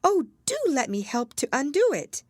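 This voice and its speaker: A woman's voice: mid-range voice, big pitch swings, unhurried, dry recording, studio quality, voice pushed up. A woman voicing an earnest, polite little storybook girl, eager and wistful in manner. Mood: curious, eager. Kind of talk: children's storybook character voice.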